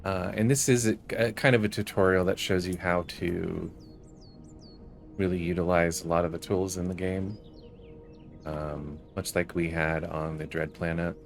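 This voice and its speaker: A man's voice: low-pitched, flat delivery, moderate pace, gravelly, soft background music. A man giving explanatory walkthrough commentary as the host of a gaming video. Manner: explanatory, reads game text aloud.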